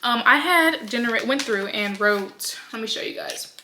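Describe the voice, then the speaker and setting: A woman's voice: on the high side, lively intonation, moderate pace, bright, forceful tone, slight background noise. A woman of twenty, chatting casually to her viewers in a get-to-know-me vlog, rambling with plenty of ums and likes. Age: 20s. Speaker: vlogger. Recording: echoey room sound.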